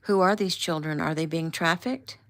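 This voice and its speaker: A woman doing a calm, firm man in charge. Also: sits low, moderate pace. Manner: steady, reassuring, firm. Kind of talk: audiobook character voice.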